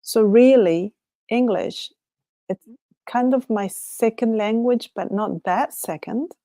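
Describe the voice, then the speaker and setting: A woman's voice: fairly high pitch, slow, mellow, dry recording, studio quality. A woman from Chile with a Chilean Spanish accent, Spanish her first language, hosting a casual solo livestream chat. She muses aloud, often laughs, and trails off and restarts her thoughts. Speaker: livestream host.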